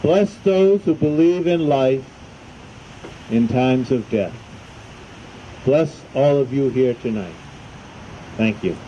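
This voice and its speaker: A man leading a prayer at an outdoor ceremony, reciting a solemn blessing in measured phrases with deliberate pauses. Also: mid-range voice, lively intonation, mellow. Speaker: service officer leading a prayer. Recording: dull, muffled sound with faint noise.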